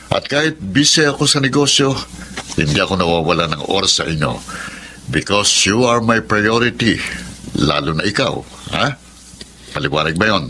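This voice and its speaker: A man's voice: deep voice, bright, forceful tone, slight background noise, exaggerated voice. An actor playing a cruel, belittling businessman husband in a Tagalog drama, condescending and mixing in English. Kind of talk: Tagalog television drama acting.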